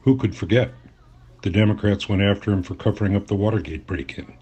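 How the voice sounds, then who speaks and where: An actor playing an earnest, curious journalist in an audio drama, speaking and narrating in a conversational, mildly skeptical way. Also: male voice, lowish voice, a little fast, dark tone, slightly rough. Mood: attentive. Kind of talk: audio drama acting with first-person narration.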